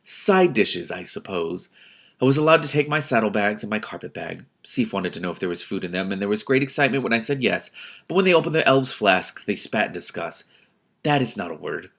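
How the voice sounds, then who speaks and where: A man reading a novel aloud as host, with casual, exasperated asides in an expressive manner. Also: lowish voice, fairly quick, gravelly. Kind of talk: audiobook-like reading with casual reactions.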